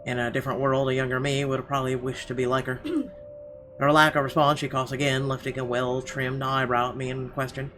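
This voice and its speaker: A man's voice: medium pitch, fairly even intonation, fairly quick, pushed and bright, a little hiss or hum. A man doing a let's play, reading visual novel narration aloud expressively with occasional stumbles. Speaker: let's player. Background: music.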